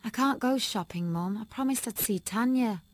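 A woman voicing a timid young schoolgirl with a high, earnest, pleading voice. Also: moderate pace, dry recording, natural voice.

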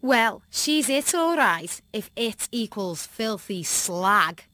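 A woman's voice: medium pitch, expressive, takes it slowly, pushed and bright, no room sound. A woman voicing a spiteful schoolgirl bully who mocks in a silky, jeering way. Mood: sneering.

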